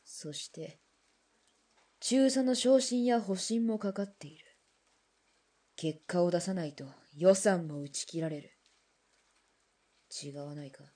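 An actress playing a cool, defiant military weapons engineer in a radio drama, with blunt, clipped, mannish phrasing. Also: female voice, fairly low pitch, slow pace, pauses often, warm tone. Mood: accusing.

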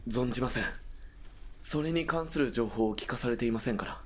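Guy playing a stiff polite young army corporal, husky midrange voice, very by-the-book, radio drama. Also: mid-range voice, fairly quick, lightly acted. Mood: dutiful. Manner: polite, stiff military speech. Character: dutiful, formal young military police corporal.

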